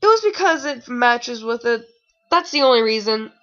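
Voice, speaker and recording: A young woman with a high, bright voice on a slightly dull home recording. She reads fan fiction casually and comments in a snarky, rambling, informal way. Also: sing-song, normal speed. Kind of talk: casual read-aloud with sarcastic commentary.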